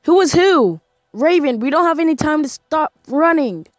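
A woman's voice: high-pitched, expressive, moderate pace, no room sound, studio quality, soft background music. A woman voice acting a cautious, frightened spaceship survivor in an amateur game roleplay. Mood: urgent.

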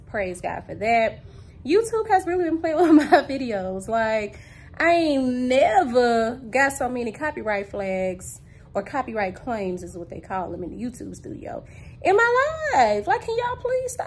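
A woman in her thirties vlogging from the driver's seat, her voice sitting slightly high as she chats away to viewers. She is animated and folksy, full of asides. The phone at arm's length picks up plenty of car and road noise. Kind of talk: casual vlog chat to camera. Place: parked car, then home room. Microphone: phone camera at arm's length.

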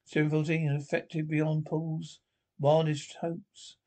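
Halting male narrator with gravelly voice. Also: fairly high pitch, level tone, mellow. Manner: halting, stumbles over words. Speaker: script reader and narrator. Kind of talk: amateur solo reading of radio drama script, stage directions aloud.

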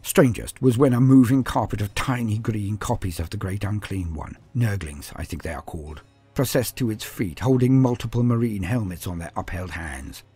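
A man's voice: lowish voice, lively intonation, moderate pace, mellow, slightly rough, no echo, soft background music. A man voicing a grim armoured space marine who recounts battle in a solemn, martial, disdainful storytelling manner. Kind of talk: first-person dramatic storytelling.

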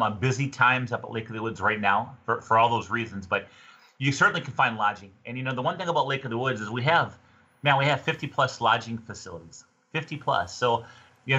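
A man's voice: mid-range voice, pushed and bright, slightly rough. A middle-aged man in his fifties chatting in a folksy, casual way as a guest giving a fishing report over a video call. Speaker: podcast guest, fishing tourism representative. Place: small room indoors, on a video call. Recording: dull, lo-fi video call audio.

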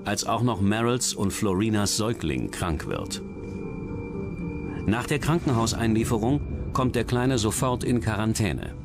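A man's voice: fairly low pitch, expressive, a little slow. A man reading scripted true-crime documentary narration as the narrator. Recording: music under the voice. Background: music.

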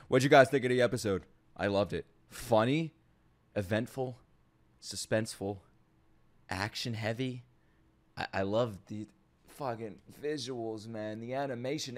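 A young man giving casual, excitable commentary as he reacts to an anime, swearing freely and breaking into shouts and laughter. He talks into a boom-mounted desk mic at home. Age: young adult. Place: home streaming room. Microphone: boom-mounted desk mic, wearing headphones.

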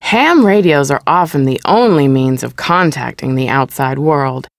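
An actress playing a museum audio guide, giving measured, instructive scripted narration in a video game. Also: female voice, a bass voice, expressive, dry recording, clean studio sound, exaggerated voice.

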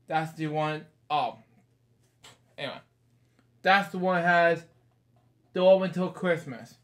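A man's voice: fairly high pitch, unhurried, fairly bright tone. A man in his thirties reading a picture book aloud in a small bedroom, rambling with casual asides and stock phrases.

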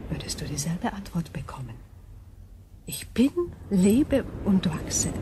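A woman acting an ancient, calm and thoughtful alien being in a radio drama, speaking in measured, formal phrases. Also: mid-range voice, a little hiss or hum, natural voice.

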